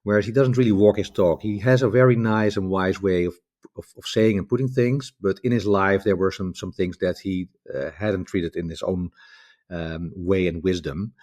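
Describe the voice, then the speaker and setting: Low deep dutch guy on a video call, rambles and restarts a lot, thoughtful. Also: fairly even intonation, normal speed, a little mellow. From Netherlands. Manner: rambling, reflective, many restarts. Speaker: guest author. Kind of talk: casual conversation.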